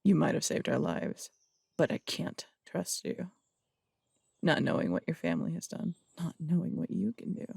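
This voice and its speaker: A man playing a gravelly stern cop. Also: fairly high pitch, animated, normal speed, a little mellow, dry recording, clean studio sound, heavily acted. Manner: blunt, warning, threatening. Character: stern adult male cop who runs the morgue. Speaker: detective. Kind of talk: audio roleplay drama.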